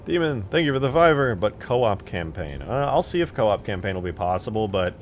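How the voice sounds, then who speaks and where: A man's voice: lowish voice, big pitch swings, brisk, a little hiss or hum. A man live streaming while he works, casually thinking aloud and muttering questions to himself. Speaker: game modding live streamer.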